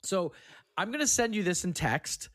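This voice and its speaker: A man's voice: high voice, lively intonation, moderate pace, a bit bright. A man hosting a podcast, moving between casual chat and scripted scene narration.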